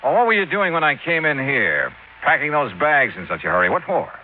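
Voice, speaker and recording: An actor playing a shrewd hardboiled American insurance investigator in a 1950s radio drama, brisk and probing. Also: male voice, a little high, sing-song, phone-quality sound, slight background noise. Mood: brisk, firm. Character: hardboiled, shrewd male insurance investigator narrating his case. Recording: band-limited vintage radio recording.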